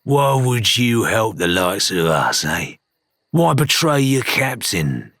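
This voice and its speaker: A man voicing a sneering, suspicious pirate thug who rants in a rough, accusatory way, as in an audiobook. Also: on the low side, very animated, slow pace, fairly bright tone, no room sound, studio quality, exaggerated voice. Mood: wary, accusing. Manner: spitting, accusatory, rough-spoken. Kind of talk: audiobook character acting.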